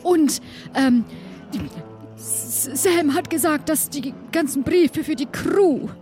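High-pitched, excitable woman playing a female pirate. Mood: excited, chatty. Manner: theatrical, halting, exclaims often. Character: excitable, flustered female pirate crew member. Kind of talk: improvised audio play acting. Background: background music.

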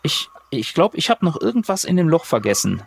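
Lively man in a roomy, echoing space. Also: a little high, brisk, creaky. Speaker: player in role-play adventure. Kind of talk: improvised in-character dialogue, casual. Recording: roomy, reverberant, faint background noise.

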